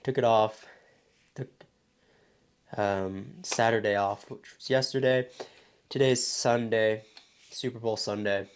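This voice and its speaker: A young man chatting casually in a vlog about his training, his voice hoarse and throaty from a cold, rambling with many fillers. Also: lowish voice, steady tone, slow pace, pauses often. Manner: loose and rambling, many ums and restarts. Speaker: vlogger training for a triathlon.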